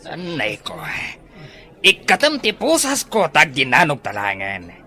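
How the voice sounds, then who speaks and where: A man's voice: fairly high pitch, huge pitch swings, a little slow, noisy background, heavily acted. A man with a Filipino accent playing a brash, defiant crook in a radio drama.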